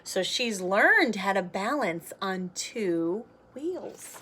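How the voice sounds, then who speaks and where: Woman, children's read-aloud, expressive sing-song, animated pitch, unhurried pace. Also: a little hiss or hum. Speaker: teacher reading a picture book aloud.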